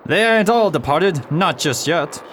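An actor playing a rough pirate deckhand with salty, archaic sailor talk in a radio drama. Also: male voice, fairly high pitch, expressive, measured pace, fairly bright tone. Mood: surly. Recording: noisy outdoor-like ambience behind the voice.

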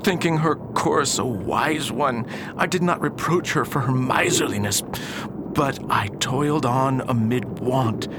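A man's voice: medium pitch, a little slow, heavy background noise, natural voice. An actor playing an obsessed, proud older Victorian alchemist and embittered father in a declamatory radio drama style. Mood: brooding. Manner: grandiose, declamatory old-fashioned speech. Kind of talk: theatrical radio drama acting.

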